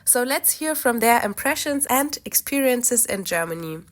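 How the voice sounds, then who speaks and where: Slightly hoarse woman hosting a podcast. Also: on the high side, lively intonation. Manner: polite, measured, careful phrasing. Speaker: podcast host and interviewer. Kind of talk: scripted podcast intro and interview questions.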